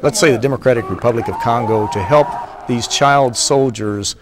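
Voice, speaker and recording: A man's voice: mid-range voice, normal speed, background noise. A man hosting an interview, asking long, formal, winding questions. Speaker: interview host.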